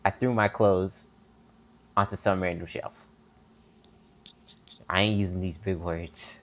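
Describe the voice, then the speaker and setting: Low-voiced man reading a game aloud. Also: fairly even intonation, slow pace, muffled recording. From America. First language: not English. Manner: stumbles over words, chatty asides. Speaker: gameplay commentator reading the game's text aloud. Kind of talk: casual let's-play reading and chat. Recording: faint game music behind.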